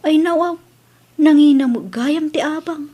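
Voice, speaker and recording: A woman's voice: sits high, measured pace, mellow. A woman playing a worried, thrifty village mother in a comedy drama, with an Ilocano accent and an exclamatory, plaintive way of talking. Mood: friendly haggling.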